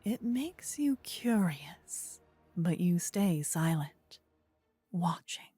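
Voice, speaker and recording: Very slow, breathy woman narrator. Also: on the low side, expressive, dry recording, soft background music. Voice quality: breathy, soft, partly whispered. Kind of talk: scripted second-person fantasy ASMR narration.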